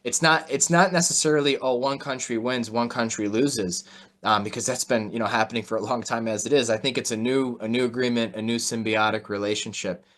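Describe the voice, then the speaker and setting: Medium-pitched man hosting an interview. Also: fairly even intonation, fairly quick, fairly bright tone. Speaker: host. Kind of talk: conversational market commentary in an interview.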